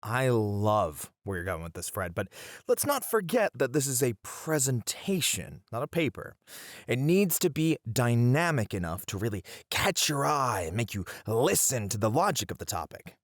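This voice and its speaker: A man's voice: medium pitch, sing-song, normal speed, no room sound, studio quality, natural voice. A man acting in an ASMR roleplay as a playful educator with theatrical flair, bantering and flirty in his delivery. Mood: enthusiastic, encouraging.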